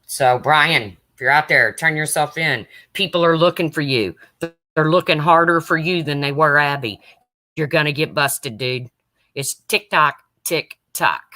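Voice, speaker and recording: A woman with a deep, raspy voice chatting casually on a live stream, answering viewers' comments by name as a true crime streamer. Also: a little slow, bright, forceful tone.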